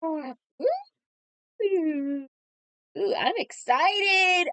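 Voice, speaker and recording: A woman's voice: very high-pitched, expressive, extremely slow, fairly bright tone, dry recording. A woman hosting a game livestream, reading aloud and reacting as she plays. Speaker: livestream host.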